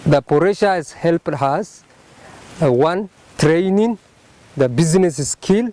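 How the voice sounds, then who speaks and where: Halting man with a higher voice. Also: animated, dark tone. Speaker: project beneficiary giving testimony. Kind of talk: unscripted testimonial interview.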